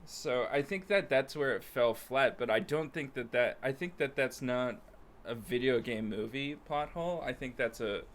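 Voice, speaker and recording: A man's voice: medium pitch, normal speed, slight background noise. A man co-hosting a podcast, chatting casually and thinking aloud about games and films. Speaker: podcast co-host.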